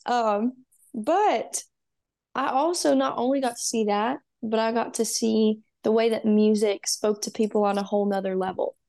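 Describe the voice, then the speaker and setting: A young woman from Texas speaks as a musician guest, earnest and upbeat. She fills her sentences with "um" and "you know", and her voice sits fairly high. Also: normal speed, creaky, warm tone. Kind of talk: casual interview.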